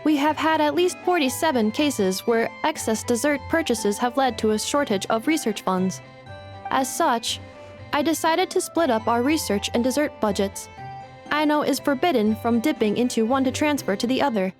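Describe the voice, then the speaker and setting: A woman voices a polite female robot assistant in an anime game. The voice is high, and the delivery is formal, exact and deadpan. Faint music plays underneath. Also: moderate pace, lightly acted. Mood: deadpan reporting.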